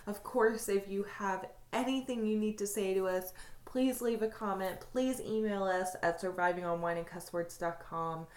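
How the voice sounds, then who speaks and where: A woman co-hosting a casual podcast, talking bluntly with easy swearing and closing with warm sign-offs to listeners. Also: medium pitch, fairly even intonation, normal speed. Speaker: podcast co-host.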